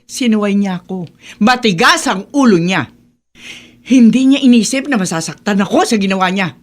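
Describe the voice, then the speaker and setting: A woman's voice: medium pitch, expressive, normal speed, close to an everyday voice. A woman playing a stern, unforgiving middle-aged mother in a radio drama, proud and hurt, scolding emphatically. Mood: bitter, unyielding. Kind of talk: Tagalog radio drama acting.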